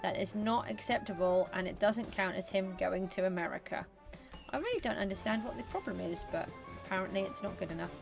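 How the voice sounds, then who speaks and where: A woman's voice: mid-range voice, animated, a little fast, gravelly, over music. A British woman streaming a building game live, chatting casually and thinking aloud with frequent ums. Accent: British English. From England. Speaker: gaming livestreamer. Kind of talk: casual live-stream chat while playing.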